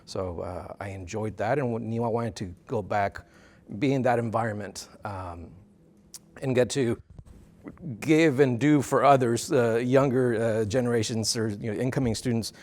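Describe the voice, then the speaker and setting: A man's voice: medium pitch, normal speed, a little mellow. A middle-aged man from South Texas answering interview questions in a TV studio, thoughtful and full of "uh" and "um" fillers. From South Texas border region. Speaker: interview guest, dean of students. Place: TV news studio set. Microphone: lapel mic.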